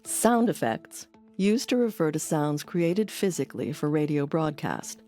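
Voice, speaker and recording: A woman hosting an audio documentary, reading scripted narration in a measured, explanatory way. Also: low-pitched, very animated. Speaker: documentary host. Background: music under the voice.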